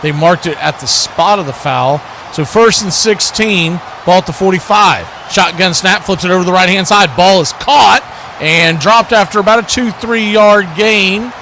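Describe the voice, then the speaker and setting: A man's voice: high voice, a little slow, pushed and bright, slight background noise. A man giving live American football play-by-play in clipped running description that lifts on the action. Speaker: play-by-play sports commentator, watch party host.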